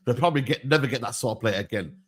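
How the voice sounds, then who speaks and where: A man's voice: medium pitch, expressive, racing, fairly bright tone. A man hosting a casual football debate, slangy and insistent, often repeating himself. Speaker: host of a football talk stream.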